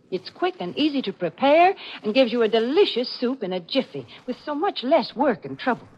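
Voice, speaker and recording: A woman's voice: high-pitched, slightly rough. A woman reading a scripted 1940s radio advertisement as an upbeat sponsor announcer. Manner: upbeat sales delivery. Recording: muffled old radio recording.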